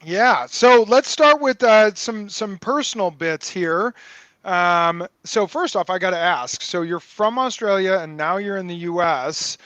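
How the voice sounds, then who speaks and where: High-voiced upbeat male host. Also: a little slow, a bit bright, no echo. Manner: upbeat and joking, many ums. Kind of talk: casual interview hosting.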